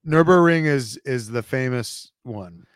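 A man's voice: medium pitch, a little slow, clear, resonant tone, no room sound, studio quality. A young man chatting casually as a podcast guest, jokey and sweary. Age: millennial, young adult. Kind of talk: casual chat.

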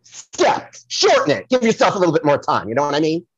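A man's voice: a little high, sing-song, fast, breathy edge, no echo, lo-fi sound. A man playing a flamboyant, touchy psychic who promotes himself and rambles effusively, repeating himself, as a comedy podcast guest. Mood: salesy, showy. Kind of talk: comedic audio play banter.

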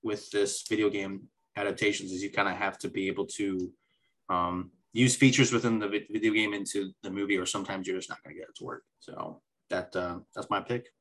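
A man's voice: deep voice, flat delivery, a little fast, fairly bright tone, rough recording. A man co-hosting a podcast, eagerly chatting about movies with frequent restarts. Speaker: podcast co-host. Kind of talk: casual movie chat.

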